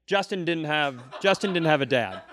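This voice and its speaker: A man co-hosting a live comedy podcast, teasing his partner with quick ribbing. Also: fairly high pitch, lively intonation, a bit bright. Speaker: comedian co-host.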